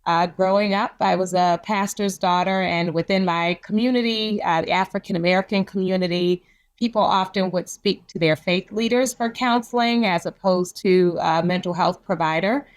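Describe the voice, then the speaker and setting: A woman's voice: fairly low pitch, moderate pace. A woman psychologist sharing personal history in a reflective, storytelling way as an interview guest. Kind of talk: conversational interview.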